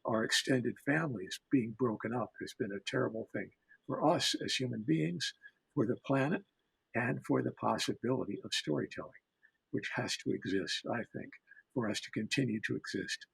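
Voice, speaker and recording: An older man speaking as a storyteller on a panel, reflective and deliberate, pausing between phrases. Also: mid-range voice, creaky, fairly bright tone, husky, rough recording.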